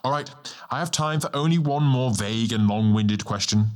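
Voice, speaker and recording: A man voicing a serene, ancient male star guardian, a measured and lightly amused mentor in an audiobook. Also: mid-range voice, a little mellow, lightly acted. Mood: teasing, frank. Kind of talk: audiobook character dialogue. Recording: music bed under the voice.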